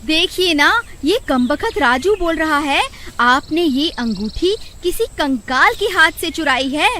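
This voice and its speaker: A woman plays a nagging village wife in a cartoon. Her voice is high and sharp, drawn out slowly and dramatically, with a complaining edge. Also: lively intonation, full character voice. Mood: indignant. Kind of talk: animated story acting. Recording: faint background noise.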